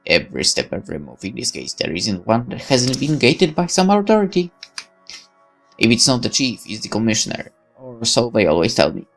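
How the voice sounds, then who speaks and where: A man's voice: medium pitch, normal speed, gravelly, soft background music. A man reading a visual novel's text aloud as dramatic, sardonic first-person narration. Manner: expressive, sardonic. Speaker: let's player reading game text.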